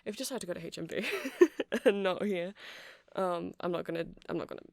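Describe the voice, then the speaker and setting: A performer playing a friendly, nerdy vampire music shop keeper in an ASMR roleplay, rambling softly and apologetically to a customer with hesitant, casual speech. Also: medium pitch, fairly quick, gravelly, no echo, clean studio sound, close to an everyday voice. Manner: soft, rambling, hesitant, self-deprecating. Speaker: store clerk.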